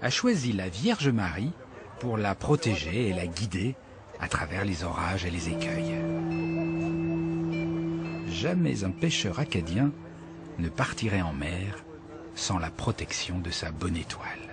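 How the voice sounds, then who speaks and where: A man's voice: low-pitched, sing-song, a little slow, long pauses. A man narrating a travel documentary in a storytelling manner. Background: music.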